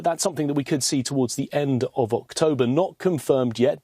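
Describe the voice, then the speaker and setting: A man reporting live as a news correspondent, explaining in articulate, measured phrases. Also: mid-range voice, darkish tone, no room sound, studio quality.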